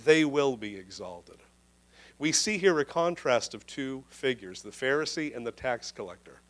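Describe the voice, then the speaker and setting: Pastor guy in his 30s preaching, handheld mic, slows way down on the big words. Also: mid-range voice, expressive, a bit bright. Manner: earnest, emphatic preaching with slow stressed phrases. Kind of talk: livestreamed sermon. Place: indoor church room. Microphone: handheld microphone.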